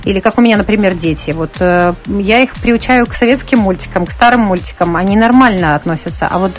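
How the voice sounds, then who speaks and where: A woman's voice: mid-range voice, quick pace. A woman guest talks off the cuff in a mid-range voice. She hesitates and searches for words as she offers her views, with faint music in the background. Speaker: interview guest, classical musician. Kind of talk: spontaneous conversational opinion.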